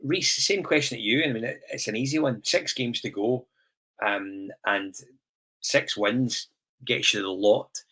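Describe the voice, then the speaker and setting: A man's voice: mid-range voice, unhurried, slightly rough. A man with a Scottish accent hosting a casual football podcast and putting questions to his panel. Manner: relaxed, asks the panel questions. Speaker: podcast host.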